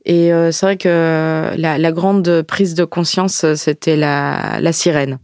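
Halting woman, dry close sound. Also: deep voice, steady tone, darkish tone, smooth, dry recording. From Ukraine. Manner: halting, searching for words, reflective. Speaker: podcast guest, diarist. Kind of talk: personal testimony in conversation.

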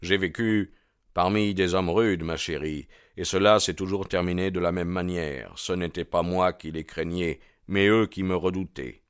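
Man acting a bold, low-voiced young suitor. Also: fairly even intonation, normal speed, clear, resonant tone, no echo, studio quality, natural voice. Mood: defiant. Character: bold, defiant, ardent young man, proud and fearless. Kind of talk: audiobook character dialogue.

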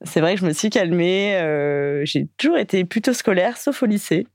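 A woman's voice: fairly low pitch, lively intonation, normal speed, darkish tone, no room sound, clean studio sound. A young woman from France, a podcast guest answering casually and hesitantly, with many fillers.

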